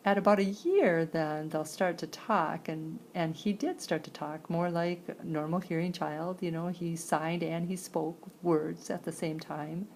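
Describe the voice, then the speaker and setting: A woman with an American accent and a creaky voice, interpreting a signed personal story aloud in halting phrases with pauses. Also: on the low side, fairly even intonation, a little mellow, a little hiss or hum. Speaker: sign language interpreter voicing a signer.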